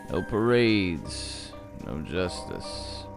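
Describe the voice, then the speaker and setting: A male actor as a cynical, weary private eye from a noir radio play. He speaks slowly and deliberately in a deep, gravelly voice that still swings widely in pitch. His manner is terse and brooding. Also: very animated, full character voice. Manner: brooding, hardboiled, deliberate delivery. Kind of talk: noir audio drama narration. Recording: music under the voice.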